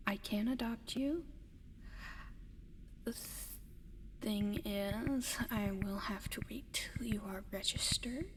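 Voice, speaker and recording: A woman voicing a gentle, eager alien in an ASMR roleplay, whispering softly and haltingly to the listener, slow and breathy. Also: medium pitch, natural voice. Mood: hesitant, earnest. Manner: soft, hesitant, breathy whispering with halting pauses. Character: gentle, eager giant female alien adopting a human pet. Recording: long reverb, audible background noise.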